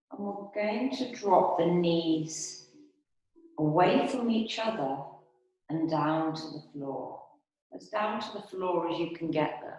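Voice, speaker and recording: A woman instructor guiding restorative yoga and meditation in a calm, soothing, unhurried manner with long pauses. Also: mid-range voice. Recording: very echoey, reverberant room.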